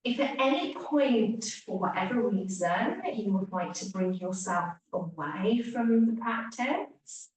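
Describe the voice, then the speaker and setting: Slow woman guiding relaxation in echoey room. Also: medium pitch. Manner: gentle, hushed phrase endings, long pauses. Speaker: yoga nidra teacher. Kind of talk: guided relaxation meditation. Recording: echoey room, distant mic, faint hiss.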